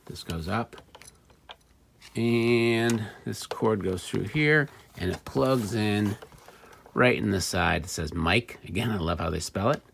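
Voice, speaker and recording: Low-voiced male vlogger, fry in the tone, thinking out loud while demonstrating gear; slight background noise. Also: slow pace. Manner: musing, thinking aloud. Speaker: vlogger demonstrating and repairing vintage electronics. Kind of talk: casual hands-on demonstration talk.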